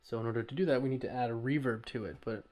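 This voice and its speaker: A man's voice: medium pitch, steady tone, very fast, a little mellow. A man hosting an informal audio tutorial, talking through each step in a casual, somewhat hesitant way. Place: voice-over recorded while working at a computer.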